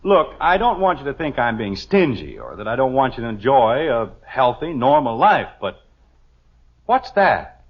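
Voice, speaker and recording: A man's voice: mid-range voice, very animated, moderate pace, husky. An actor playing a thrifty, exasperated middle-aged father with an American accent in a blustering, sarcastic manner, in an old radio sitcom. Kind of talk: 1950s radio sitcom acting. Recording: dull vintage radio recording.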